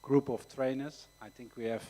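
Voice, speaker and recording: A man in his fifties, a football academy coordinator, introducing himself at a press conference in a soft, halting way. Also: medium pitch, fairly even intonation. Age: 50s.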